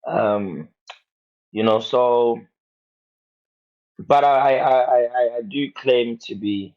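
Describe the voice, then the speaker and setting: A man's voice: mid-range voice, slow pace, dull audio. A man who grew up in Zimbabwe, a guest on a podcast, chatting casually and teasing the hosts. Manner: joking, playful teasing. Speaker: guest musician.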